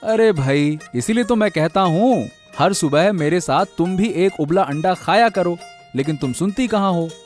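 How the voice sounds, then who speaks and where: A man's voice: sits high, expressive, normal speed, faint music behind. A man playing a bossy but caring husband in an acted story, chatty, emphatic and affectionately nagging. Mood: chiding. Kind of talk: acted Hindi audio story dialogue.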